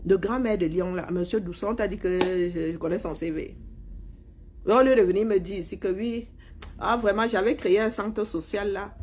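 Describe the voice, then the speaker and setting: A woman's voice: medium pitch, normal speed, a little mellow, a little hiss or hum. A middle-aged woman, mockingly scolding a politician in a heated live-stream rant. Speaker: commentator replying to a politician.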